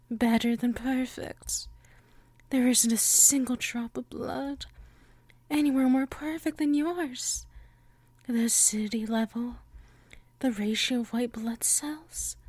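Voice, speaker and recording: A woman playing a possessive, hungry vampire in an ASMR roleplay, slipping between soft talk and breathy whispers, coaxing and menacing. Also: fairly high pitch, no room sound. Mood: awed, enraptured. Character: possessive, hungry female yandere vampire, powerful and obsessive. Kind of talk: ASMR roleplay audio drama.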